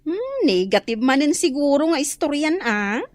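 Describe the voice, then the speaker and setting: A woman with an Ilocano Filipino accent playing a sensible, friendly, chatty neighbour in a comedy radio drama, with an expressive conversational delivery. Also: sits high, normal speed, no room sound, clean studio sound. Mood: friendly, gossipy.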